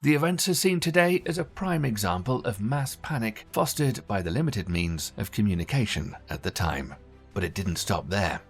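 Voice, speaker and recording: A man reading scripted documentary narration in a measured, formal way. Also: fairly low pitch, lively intonation, husky, faint music behind.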